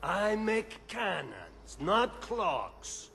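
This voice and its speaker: A man playing a proud, lazy, irritable Italian cannon maker in a video game, with a gravelly voice and an Italian accent. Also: high-pitched, wildly swooping pitch, very slow, bright, forceful tone, a little hiss or hum, exaggerated voice. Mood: grouchy. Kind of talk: video game cutscene acting.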